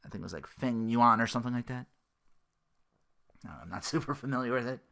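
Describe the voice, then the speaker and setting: A man's voice: mid-range voice, fast talker, creaky, pushed and bright. A man hosting a gaming podcast in a casual, rambling, slangy monologue, often acting out imagined quotes. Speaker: podcast host.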